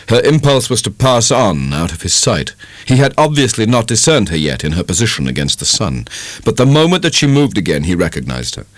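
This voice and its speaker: A man with a British English accent giving a measured, dramatic reading of a literary novel as an audiobook narrator. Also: sits low, no room sound. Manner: measured, expressive storytelling.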